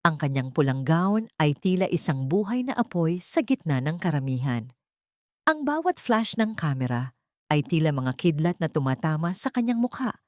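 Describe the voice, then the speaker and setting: A woman with a low voice narrates dramatic fiction. Her delivery is deliberate and theatrical, with melody that swings widely to build suspense, in a very dry, close sound. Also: a little mellow, no echo. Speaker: narrator of an audio story. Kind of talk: dramatic scripted storytelling narration.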